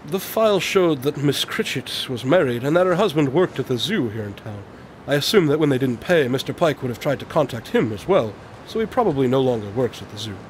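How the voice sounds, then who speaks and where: A man's voice: mid-range voice, animated, fairly quick, a little hiss or hum, close to an everyday voice. A man acting in a radio drama as a brilliant, aloof detective, speaking formally and precisely. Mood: explanatory, assured. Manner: precise, formal, matter-of-fact. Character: brilliant, aloof male detective explaining his deductions.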